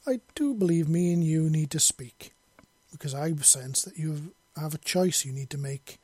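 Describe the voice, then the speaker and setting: Man playing a gracious, mysterious woman, higher-pitched. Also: moderate pace, darkish tone, slightly rough, no echo. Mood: playful, assured. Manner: gracious, formal, assured. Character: mysterious, playful, confident beautiful dark-haired young woman.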